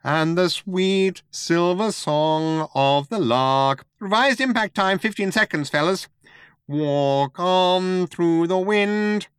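A man's voice: very high voice, animated, bright, forceful tone, no room sound, clean studio sound, voice pushed up, full character voice. A man voicing a relentlessly cheerful, over-friendly spaceship computer with a nasal whine, for a comic science fiction story. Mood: chirpy. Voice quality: nasal, whining. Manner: chirpy, over-friendly, breaks into slow sentimental song. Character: relentlessly cheerful chirpy male shipboard computer, whiny crooner.

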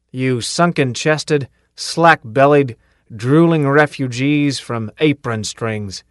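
A man reading an audiobook, voicing a loud, tough male drill sergeant who bellows orders and insults. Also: mid-range voice, dry recording, clean studio sound, lightly acted.

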